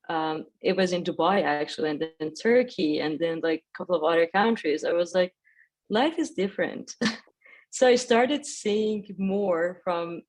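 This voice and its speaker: A woman's voice: mid-range voice, low-quality recording. A woman from Iran with an Iranian accent and Persian as first language, speaking personally and effusively as a panel guest. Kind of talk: conversational personal reflection.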